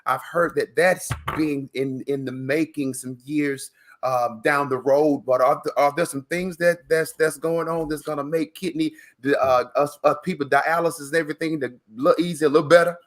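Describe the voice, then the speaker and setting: A man's voice: fairly high pitch, fairly even intonation, moderate pace. A man hosting an interview in a casual, encouraging way, speaking earnestly and repeating words for emphasis. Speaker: host and interviewer.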